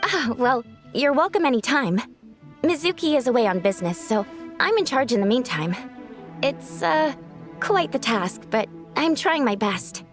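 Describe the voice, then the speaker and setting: A woman voicing a gentle dream-eating yokai in the form of a young woman, polite and earnest in a video game. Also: sits high, big pitch swings, moderate pace, soft background music.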